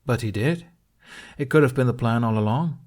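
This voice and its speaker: A man's voice: mid-range voice, normal speed, darkish tone, voice pushed up, natural voice. A man voicing an earnest middle-aged Viking in an audiobook, loyally pleading with insistent, emphatic delivery.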